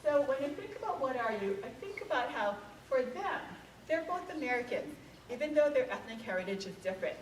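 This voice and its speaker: A woman's voice: high voice, sing-song, fairly quick, a little hiss or hum. Woman speaker from Hawaii, casual lecture style, lots of fillers; recorded far from the mic in an echoey hall. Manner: conversational, frequent um fillers, asks audience questions. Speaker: author and speaker. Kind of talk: museum lecture to an audience. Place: museum event room.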